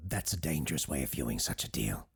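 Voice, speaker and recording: An actor playing a learned, cautious doctor who hosts a radio show in an audio drama, husky-voiced, hesitant and earnest. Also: male voice, a bass voice, sing-song, fast, exaggerated voice. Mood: alarmed, earnest.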